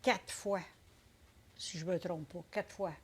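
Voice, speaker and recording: An older woman with a Québécois accent, telling her story in a casual interview, unhurried and hesitant, full of fillers. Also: on the low side, fairly even intonation, a little hiss or hum. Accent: Québécois French. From Quebec. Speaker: interviewee, entrepreneur.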